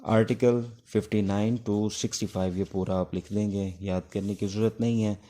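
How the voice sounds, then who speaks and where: Male Pakistani law teacher, low and soft-edged dark tone, explanatory, slightly halting delivery for an informal lecture. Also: steady tone. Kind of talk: informal exam-prep lecture in Urdu mixed with English legal terms.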